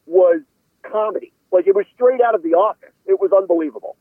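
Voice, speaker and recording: Male sports reporter guest on a phone-like line, middle-aged, slightly high voice, casual analysis. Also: fairly quick, no echo. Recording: tinny narrow phone-like line.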